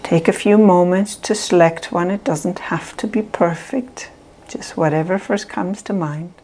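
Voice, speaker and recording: Woman, calm meditation guide, low-ish voice, unhurried measured delivery. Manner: calm, measured, soft phrasing with long pauses. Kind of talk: slow guided visualization.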